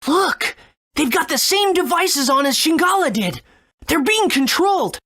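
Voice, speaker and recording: Eager young game hero: high, lively, polite and earnest. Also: female voice, a little slow, full character voice. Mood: urgent. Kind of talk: video game voice acting.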